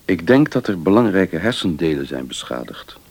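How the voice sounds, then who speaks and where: An actor playing a grave, authoritative family doctor, formal and measured, in a Dutch radio play. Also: male voice, low voice, very animated, darkish tone.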